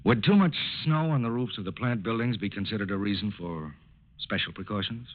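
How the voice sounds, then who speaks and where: An actor playing a calm, businesslike FBI agent in a 1940s radio drama, terse, polite and purposeful. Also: male voice, lowish voice, a little fast, lightly acted. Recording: tinny narrow-band old radio recording.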